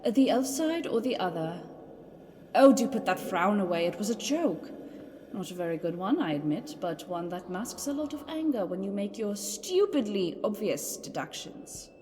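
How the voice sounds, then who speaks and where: An actress playing a cruel, haughty dark-elf warrior in a fantasy audio drama, mocking and condescending. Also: female voice, mid-range voice, lively intonation, moderate pace, slight background noise, close to an everyday voice. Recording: long hall reverb, music bed underneath. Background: music.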